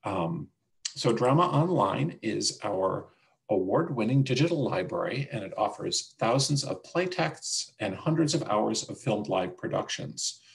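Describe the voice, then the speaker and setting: A man with a low-pitched voice and a noticeably creaky, fry-laden edge presents a product demo in a webinar. He speaks into a video call microphone as he takes an online group through his slides. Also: lively intonation, unhurried, poor audio. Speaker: senior account manager presenting a product demo.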